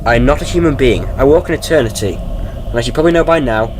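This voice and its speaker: A man's voice: quick pace, lightly acted. A man acting as an eccentric, confident, protective alien time traveller in a fan audio drama. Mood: defiant, assured. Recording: noisy home recording with ambient rumble.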